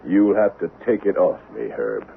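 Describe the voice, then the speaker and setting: A man's voice: sits low, big pitch swings, moderate pace, gravelly, full character voice. An actor playing a cool, vengeful man of the Old West, taunting and sardonic, in a 1970s radio drama. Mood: taunting, eerie. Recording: tinny, noisy vintage radio broadcast.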